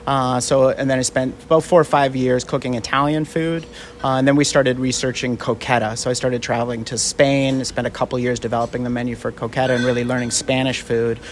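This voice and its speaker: Relaxed chef from Canada, lots of fillers. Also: male voice, medium pitch, a little hiss or hum. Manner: relaxed, halting with many fillers. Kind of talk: radio interview guest.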